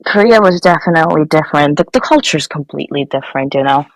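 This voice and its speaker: A woman's voice: low voice, expressive, fast, dry recording, rough recording. A young woman from Nepal, a guest engineer, answering interview questions casually with many fillers and restarts.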